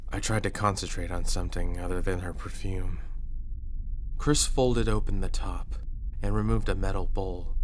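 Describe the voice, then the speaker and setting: A man narrating a scripted first-person horror story in a measured storyteller's delivery. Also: lowish voice.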